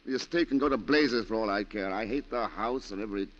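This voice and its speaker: Earnest, devoted low-voiced husband played by an actor. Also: male voice, fast, close to an everyday voice. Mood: worn down. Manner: earnest, emotional. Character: devoted, anxious male colonial rubber planter husband. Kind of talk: 1940s radio acting. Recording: muffled 1940s radio recording.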